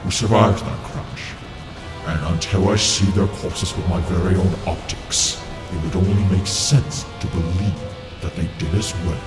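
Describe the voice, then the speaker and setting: A man's voice: low-pitched, fairly even intonation, measured pace. A man playing a villainous, scheming robot warlord in a comic dub, with a theatrical, gloating delivery. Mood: grandiose menace. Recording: echoey, loud music underneath. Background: loud music.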